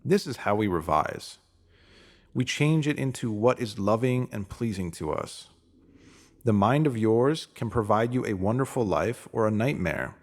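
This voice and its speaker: A man's voice: fairly low pitch, animated, unhurried. A man narrating a motivational spiritual essay aloud in an earnest, instructive manner.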